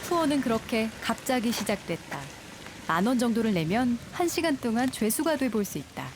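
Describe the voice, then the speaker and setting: A woman reading scripted travel documentary narration in a calm, measured way, recorded as a studio voice-over. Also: a little high, noisy background. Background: music.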